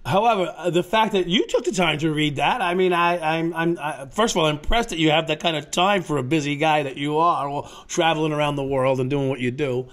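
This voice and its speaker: A man's voice: on the high side, a little fast, few pauses, a bit bright. A man co-hosting a casual interview, joking, rambling and laughing often. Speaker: co-host, ex-detective. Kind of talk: casual chat.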